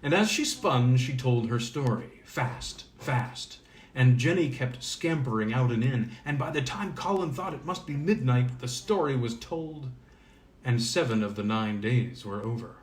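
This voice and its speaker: A man narrates an old fairy tale in a mid-range voice. His reading is expressive and deliberate, with a dramatic storyteller's phrasing. The sound is roomy, with plenty of echo around the voice. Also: medium pitch.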